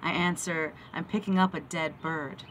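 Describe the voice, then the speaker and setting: A middle-aged woman poet reading her own verse aloud with deliberate, dramatic phrasing, recorded on a phone held at arm's length. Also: sits low, steady tone, a bit bright, a little hiss or hum.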